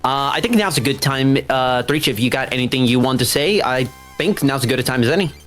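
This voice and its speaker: A man's voice: medium pitch, expressive, a little fast, fairly bright tone, faint music behind. A male speedrunner chatting casually and thinking aloud while he plays. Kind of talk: casual play-by-play while gaming.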